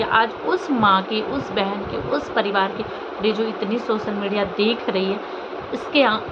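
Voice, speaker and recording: A woman from India vlogging informal, opinionated commentary to viewers in an emotive way, with rhetorical questions and repetition. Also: mid-range voice. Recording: dull lo-fi audio, loud vehicle noise.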